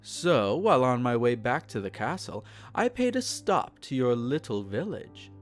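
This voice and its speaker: A man's voice: medium pitch, very animated, a little slow, faint music behind. A man playing a possessive, aristocratic male vampire in an intimate roleplay audio drama, speaking formally, soothing yet condescending and menacing. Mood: coolly pleased.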